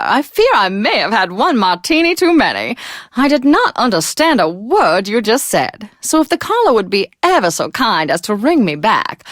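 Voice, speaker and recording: An actress playing a posh, flamboyant, tipsy classical radio DJ in a video game, with a gushing, affected, genteel manner. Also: female voice, on the high side, a bit bright, dry recording, studio quality. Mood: tipsy, airy. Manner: theatrical, affected, genteel and effusive.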